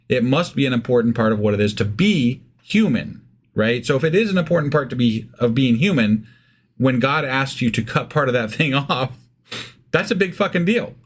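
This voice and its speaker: A man co-hosting a casual Bible discussion podcast, talking in a rambling, halting, irreverent way with casual swearing. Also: mid-range voice. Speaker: podcast co-host.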